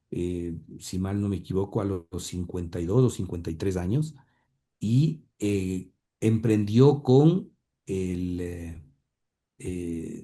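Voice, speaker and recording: A man's voice: low voice, dark tone. A man telling family history in an interview, hesitating often with fillers and repeated words. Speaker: interview guest, grandson of the radio pioneer. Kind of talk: conversational storytelling interview.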